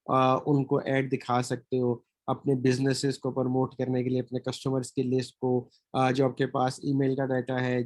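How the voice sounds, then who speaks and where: Hoarse man teaching a class. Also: medium pitch, a little mellow, no echo. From Pakistan. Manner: explanatory, checks in with "theek hai?" often. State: sore throat. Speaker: marketing trainer. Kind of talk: recorded lecture.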